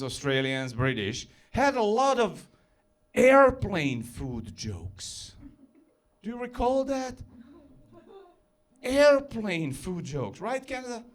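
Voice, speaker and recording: A man with a Serbo-Croatian accent doing a self-deprecating stand-up set on stage, building to yells. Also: sits high. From former Yugoslavia. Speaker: stand-up comedian.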